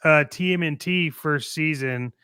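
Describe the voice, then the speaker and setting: A man's voice: a little high, measured pace, pushed and bright, smooth, no room sound, studio quality. A man co-hosting a hobby talk show, moving between opinionated chat and brash, playful, slangy ad reads. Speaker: co-host of a toy-collecting show.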